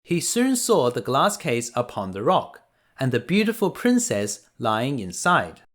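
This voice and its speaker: An unhurried man narrates a children's bedtime fairy tale. His delivery is deliberate and expressive, with lively intonation and a mid-range pitch. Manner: deliberate, expressive read-aloud. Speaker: storyteller reading to children.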